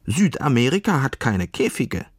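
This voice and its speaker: A brash little female guinea pig with pirate ambitions, voiced by a man. The pitch jumps high and swings about as she bellows her lines. It is storybook acting for children. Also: sing-song, normal speed, husky, dry recording, clean studio sound. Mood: insistent. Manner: bellowing, exclamatory.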